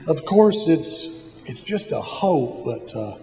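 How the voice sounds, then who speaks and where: A man playing a gentle, principled middle-aged father in a radio drama, with a kindly, hesitant manner. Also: on the high side, big pitch swings, slow pace. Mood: gently hopeful. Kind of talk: stage radio drama acting. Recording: echoey hall, music behind.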